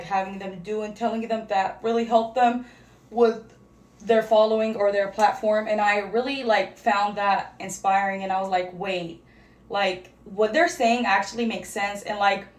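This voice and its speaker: A young woman whose first language is Arabic, chatting casually as a vlogger while getting ready, rambling and searching for words. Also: medium pitch. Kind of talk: casual get-ready-with-me chat. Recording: echoey room.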